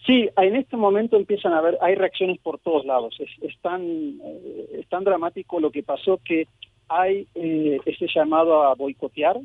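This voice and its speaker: A sociologist born in Mexico and raised in Argentina, talking as a guest in a radio phone interview, thoughtful and hesitant with many fillers. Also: male voice, a little high, normal speed, dry recording. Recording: phone line.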